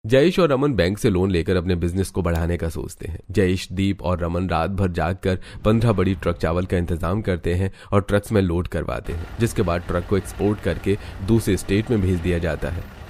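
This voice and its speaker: A man's voice: low-pitched, fairly quick, a little mellow, a little hiss or hum. A man reading scripted story narration with music playing behind him. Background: background music.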